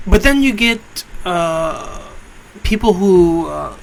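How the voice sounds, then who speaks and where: A man in his late thirties hosting an internet radio show, talking off the cuff in a casual, halting monologue. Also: high voice, lively intonation, rough recording. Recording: clear background noise.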